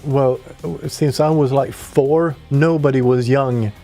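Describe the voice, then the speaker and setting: A man from Sweden co-hosting a laid-back radio chat, dry and teasing in his replies. His voice is mellow and warm at a mid pitch, with faint music underneath. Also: steady tone, slow. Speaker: radio co-host. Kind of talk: casual chat.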